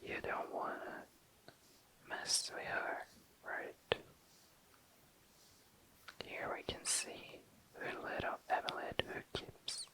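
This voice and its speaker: An ASMR host speaking in a very low, breathy whisper close to the microphone, deliberately lingering on and repeating words. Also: rough recording. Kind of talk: ASMR, slow soft whispering.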